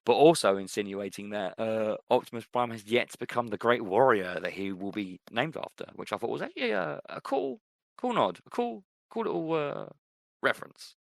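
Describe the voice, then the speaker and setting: Low-voiced enthusiastic podcast man. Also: animated, normal speed, gravelly, no echo, studio quality. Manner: enthusiastic, repeats himself for emphasis. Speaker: podcast co-host. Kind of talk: casual film discussion.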